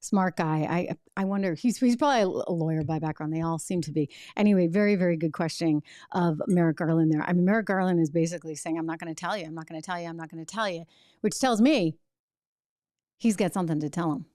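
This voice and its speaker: A woman's voice: fairly low pitch, fast, mellow, dry recording. A woman hosting a political commentary show, speaking emphatically and reacting to clips with rhetorical questions. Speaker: host.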